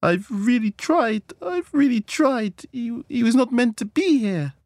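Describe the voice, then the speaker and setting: Shrill, overwrought suburban hostess. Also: male voice, sing-song, takes it slowly, a little mellow, no echo, studio quality, exaggerated voice. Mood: weeping. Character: highly strung suburban hostess wife, shrill and hot-tempered.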